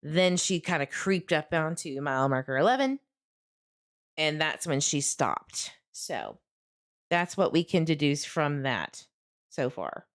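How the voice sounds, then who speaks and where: Low-voiced woman livestreamer. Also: big pitch swings, unhurried. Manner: conversational, earnest, addresses viewers directly. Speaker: host of a true crime livestream. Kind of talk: casual livestream commentary, chatting to viewers.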